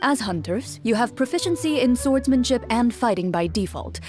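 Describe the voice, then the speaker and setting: An actress voicing a composed woman who calmly explains the rules of a tabletop game as its game master. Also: fairly high pitch, soft background music, natural voice. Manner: calm, measured, explaining rules. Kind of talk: game cutscene voice acting.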